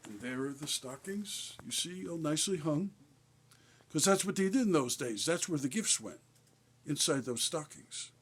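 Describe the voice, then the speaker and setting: Kindly Santa Claus played by a man, husky and slightly high-voiced, gently reading a storybook to children with folksy asides. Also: animated, normal speed. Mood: gently explaining. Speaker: guest reader at a benefit concert.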